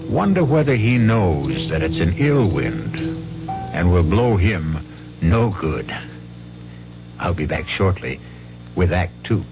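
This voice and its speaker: Deep, slow, theatrical male mystery host. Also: big pitch swings. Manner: sardonic, ominous. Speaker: host of radio mystery series. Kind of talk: theatrical scripted narration. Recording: muffled old radio, music behind.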